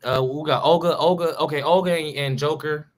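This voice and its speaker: A man's voice: fairly high pitch, fairly even intonation, fairly quick. A man co-hosting a casual sports talk show, talking loosely in slang with lots of fillers and restarts. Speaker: podcast co-host.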